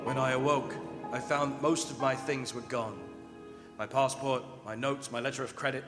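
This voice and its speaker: A man playing a desperate young English gentleman prisoner, narrating his diary with dramatic dread in a radio drama. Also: mid-range voice, steady tone, normal speed, lightly acted. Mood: haunted dread. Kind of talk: old-time radio drama acting on stage. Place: performing arts center stage. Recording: echoing theatre hall, music behind.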